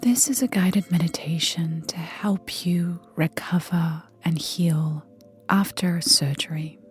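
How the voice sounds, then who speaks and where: Slow, whispery low-voiced woman guiding meditation. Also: fairly even intonation. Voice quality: soft, breathy, whispery. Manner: gentle, soothing, unhurried with long pauses. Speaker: meditation guide. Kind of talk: guided meditation, soft breathy near-whisper. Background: soft background music.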